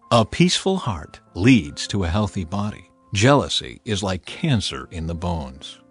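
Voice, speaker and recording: A man reading scripture as a dramatized audiobook narrator, with a solemn, measured and expressive delivery. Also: medium pitch, breathy edge, no room sound.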